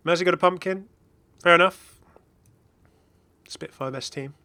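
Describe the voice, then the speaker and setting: A man hosting a gaming video with casual, excited reaction commentary in a rambling, exclamatory way. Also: high voice, unhurried, no room sound. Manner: rambling, exclamatory, chuckling asides.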